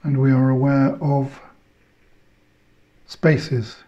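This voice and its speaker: Slow, mellow male meditation guide. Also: mid-range voice, level tone, muffled recording. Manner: measured short phrases with long pauses.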